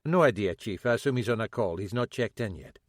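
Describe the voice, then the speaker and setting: A man's voice: lowish voice, expressive, fairly quick, no room sound, studio quality, close to an everyday voice. Man doing an eager sergeant.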